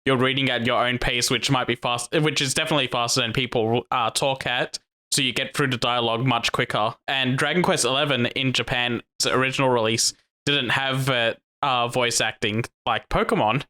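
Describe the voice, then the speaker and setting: A man's voice: mid-range voice, fairly even intonation, moderate pace, pushed and bright. A man co-hosting a casual podcast, often restarting and correcting his words mid-sentence. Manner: stumbling restarts, self-correcting. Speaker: podcast co-host. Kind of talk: casual chat.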